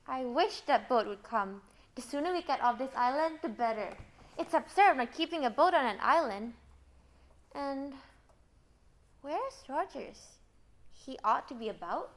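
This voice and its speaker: A serious lady doctor acted by a woman with a high voice and an anxious temper, delivered as student theatre. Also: animated, unhurried, pauses often. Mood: anxious, grave. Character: serious, anxious female doctor stuck on island. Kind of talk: student stage play acting.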